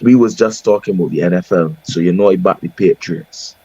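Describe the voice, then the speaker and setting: A man with a Bajan accent, a podcast guest talking sport in a calm, wry, mock-solemn way. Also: deep voice, fairly quick, slightly rough, dry recording, highs cut off. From Barbados. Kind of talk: casual sports chat.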